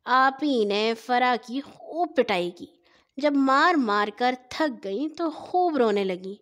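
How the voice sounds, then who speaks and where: A woman storyteller narrating scripted stories for children in a deliberate, phrase-by-phrase way. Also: sits high, lively intonation.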